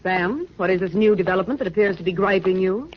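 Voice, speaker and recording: A woman's voice: medium pitch, quick pace, a little hiss or hum, lightly acted. Brassy, sassy 1940s radio young woman, female actor; arch slangy delivery on a dull vintage recording. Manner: wordy, sardonic, mock-formal slang. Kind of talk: 1940s radio drama acting.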